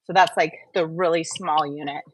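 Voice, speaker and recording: A woman's voice: low-pitched, steady tone, normal speed, slight background noise. A woman hosting a casual livestream, explaining things step by step in a conversational, repetitive way. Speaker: host of a live wellness stream.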